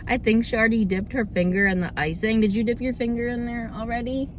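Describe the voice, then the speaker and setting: A young woman with a slightly hoarse, under-the-weather voice, chatting casually and informally as she hosts a food review vlog. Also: on the high side, fairly even intonation, fast talker, a little hiss or hum. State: slightly hoarse, getting over a cold. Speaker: host of a cookie review video series.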